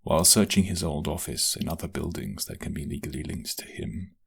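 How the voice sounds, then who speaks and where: Man playing a very deep, gravelly loyal guard. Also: brisk, a bit bright, heavily acted. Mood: matter-of-fact. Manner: deferential, earnest, formal. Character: earnest, respectful, loyal male guard of a crime syndicate. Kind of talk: audio drama roleplay acting.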